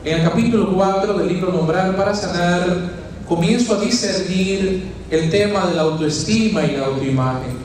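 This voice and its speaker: Dominican friar giving a sermon: high-voiced man, questions and homely sayings, caught from afar in a very reverberant church. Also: normal speed, a little mellow, a little hiss or hum. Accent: Dominican Spanish. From Dominican Republic. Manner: teaching with rhetorical questions and folksy asides. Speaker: preacher, friar. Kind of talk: sermon at a healing retreat. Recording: very echoey church, distant mic.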